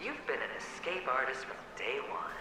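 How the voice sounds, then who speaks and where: An actor playing a gambler's taunting, weary shadow self in a video game, theatrical and breathy, drifting into whispers. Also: male voice, mid-range voice, big pitch swings, moderate pace, background noise, lightly acted. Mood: mocking, theatrical. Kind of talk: dramatic video game voice acting. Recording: heavy echo under loud game music.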